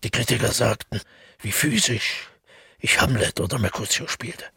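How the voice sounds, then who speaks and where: Husky, gravelly man, a brooding former actor. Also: medium pitch, animated, sharp tone, dry recording, clean studio sound, full character voice. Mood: musing pride. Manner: halting, musing, self-correcting. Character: brooding Scottish former stage actor, confessing and self-doubting. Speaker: narrator and lead of a radio play. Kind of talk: intimate first-person radio drama monologue.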